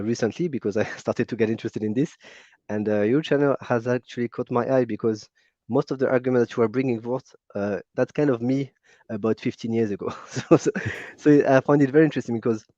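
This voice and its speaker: A man's voice: mid-range voice, warm tone, dry recording. Hesitant French man on a muffled call. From France. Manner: hesitant, many fillers and restarts. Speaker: caller. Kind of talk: casual call-in conversation. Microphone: video call.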